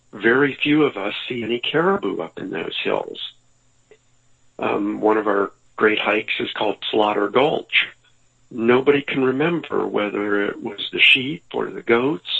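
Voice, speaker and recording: A man speaks slowly and earnestly on a tinny, phone-like line. His voice is gravelly and husky, and he pauses often and trails into ums. He sounds frustrated and deliberate. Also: medium pitch. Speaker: interview guest. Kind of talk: conversational interview answers.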